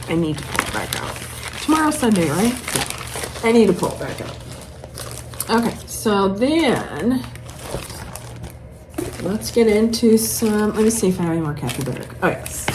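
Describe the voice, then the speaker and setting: A woman chatting casually to camera as a craft vlogger, gushing and thinking aloud as she shows off patterns. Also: mid-range voice. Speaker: cross-stitch vlogger showing patterns. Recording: clearly noisy room ambience.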